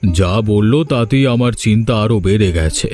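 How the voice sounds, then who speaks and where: Troubled village landlord, low-key and earnest, acted by a man. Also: normal speed, darkish tone, lightly acted. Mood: worried, sombre. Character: worried, kindly ageing village landlord, weary and earnest. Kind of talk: dramatic cartoon dialogue. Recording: music behind the voice.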